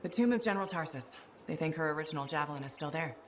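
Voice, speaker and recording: A woman playing a capable, determined freelancer mercenary pilot in a video game cinematic, with a brisk, confident manner. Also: sits low, expressive, a little hiss or hum.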